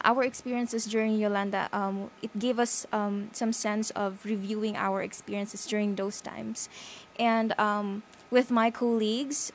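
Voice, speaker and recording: A woman's voice: medium pitch, a little hiss or hum. A young woman with a Filipino accent, a student representative, speaking earnestly on air with some fillers. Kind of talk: radio studio remarks.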